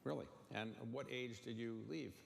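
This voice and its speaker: A man's voice: medium pitch, moderate pace, creaky. A man interviewing a guest with blunt, probing short questions. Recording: faint noise in a long-reverb room.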